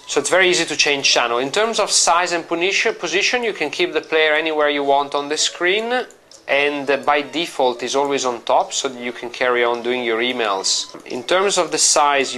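A middle-aged man with an Italian accent, a native Italian speaker, walking through how to use a software application in an office, explaining in a relaxed way with frequent fillers and restarts. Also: mid-range voice, normal speed, soft background music. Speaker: company representative demonstrating software. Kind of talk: product walkthrough.

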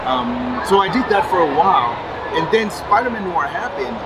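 A man's voice: sits high, moderate pace. A man in his forties from France with a French accent, talking animatedly in a casual interview at a convention booth. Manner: animated, gestures while talking. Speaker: comic book writer and publisher. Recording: loud convention crowd noise behind.

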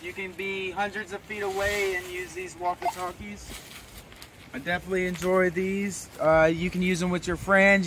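A man's voice: high voice, a bit bright. A young adult man giving a casual product demonstration to camera as a reviewer, speaking outdoors. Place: outdoors in a wooded yard. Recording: outdoor camera audio with background noise.

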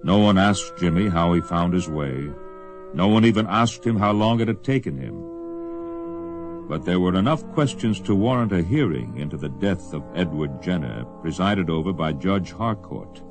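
An actor as a grave storyteller, reading scripted narration for a radio western. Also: male voice, low voice, sing-song, normal speed, over music.

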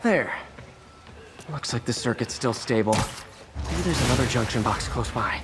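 An American man playing an earnest, quick-witted young masked superhero in a video game, in a conversational way. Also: medium pitch, expressive, very noisy, natural voice. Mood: focused.

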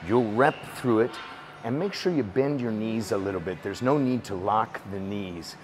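A man in his forties giving calm, steady step-by-step workout instructions as a voice-over. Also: on the low side, moderate pace. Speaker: fitness instructor. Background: music.

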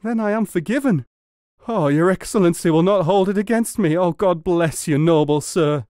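A man's voice: medium pitch, fairly even intonation, a little fast, a little mellow, dry recording, studio quality, lightly acted. A man voicing a gullible, bad-tempered rustic muleteer in a storybook, exclaiming and stammering. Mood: awed, grovelling. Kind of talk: storybook character reading.

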